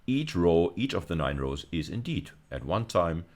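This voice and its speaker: A man giving an explanatory lecture, speaking deliberately and emphatically, often repeating and rephrasing key phrases. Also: deep voice, big pitch swings. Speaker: university lecturer.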